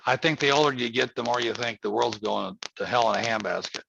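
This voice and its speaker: Gravelly man in his seventies. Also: mid-range voice, a little fast. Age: 70s. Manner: folksy, rambling, emphatic. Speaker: host. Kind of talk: casual call-in chat. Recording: muffled lo-fi audio with faint noise.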